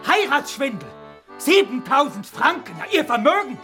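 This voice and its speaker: An actor in a German radio play playing a blunt, vengeful, hot-tempered small-town gossip. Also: male voice, very high-pitched, very animated, normal speed, sharp tone, soft background music, full character voice. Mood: agitated, gossiping. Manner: blunt, agitated.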